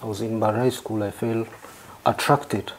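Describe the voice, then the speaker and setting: A man from southern Uganda with a Ugandan accent, answering interview questions in halting, formally worded phrases. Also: lowish voice, little pitch movement, a little hiss or hum. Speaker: interview guest.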